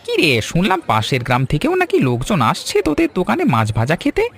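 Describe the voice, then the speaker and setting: A man voicing a kindly older village shopkeeper, avuncular and encouraging, in an animated story. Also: high-pitched, a little fast, vocal fry, soft background music, heavily acted. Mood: proud, encouraging.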